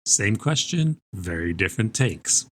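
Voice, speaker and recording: A man's voice: fairly low pitch, very animated, measured pace, a bit bright. A man co-hosting a podcast, talking conversationally about books. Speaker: book podcast co-host. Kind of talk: conversational podcast book talk.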